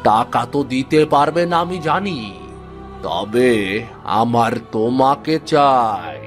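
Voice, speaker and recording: Slow-drawling lecherous village landlord, acted by a man. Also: mid-range voice, soft background music, lightly acted. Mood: menacing. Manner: slow, drawling, gloating speech. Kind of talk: cartoon voice acting.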